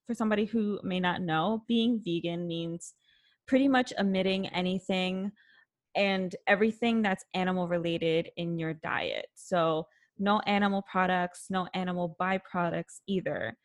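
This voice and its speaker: Woman host, casual interview, friendly and chatty, fills pauses with "um" and "like". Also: on the low side. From Puerto Rican family background. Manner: friendly, chatty, with frequent fillers.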